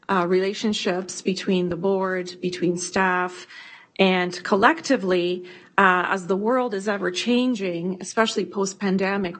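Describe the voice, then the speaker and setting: A middle-aged woman, a school board trustee, introducing herself at a board meeting in a measured, reflective way with some hesitations. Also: medium pitch, a bit bright.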